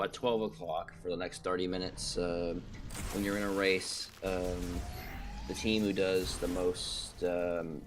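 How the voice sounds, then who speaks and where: Low, scratchy-voiced man rambling over music. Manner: rambling, swearing, frequent fillers. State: slightly scratchy voice. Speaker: guest on a gaming stream call. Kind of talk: casual chat. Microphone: desk mic on a broken stand, over a call.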